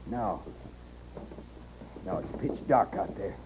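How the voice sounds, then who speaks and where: An actor playing a gruff, suspicious horse thief on the run in a 1950s radio western, curt, with a rural American accent. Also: male voice, on the low side, takes it slowly. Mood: tense. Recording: noisy, muffled 1950s radio recording.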